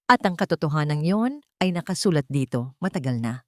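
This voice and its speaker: A woman's voice: deep voice, very animated, fairly quick, darkish tone, no room sound, clean studio sound. A woman with a Filipino accent reading a scripted, dramatic story as its narrator.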